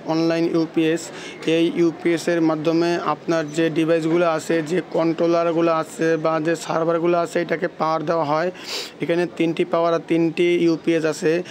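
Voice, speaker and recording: A man gives a halting hands-on technical walkthrough with frequent false starts, as a video presenter. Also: fairly high pitch, darkish tone, slight background noise.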